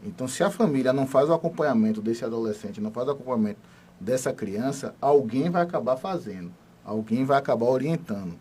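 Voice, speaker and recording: A man from Salvador, a police major giving a measured, explanatory radio interview. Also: medium pitch, lively intonation, warm tone, husky. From Salvador, Bahia, Brazil. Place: radio studio.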